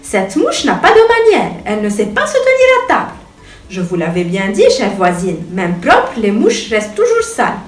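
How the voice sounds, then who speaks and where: A woman's voice: mid-range voice, huge pitch swings, moderate pace. A woman voicing prim, gossipy insect neighbours in a children's story, haughty and indignant. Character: disapproving, gossipy prim bee and butterfly neighbours. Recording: echoey room, distant mic.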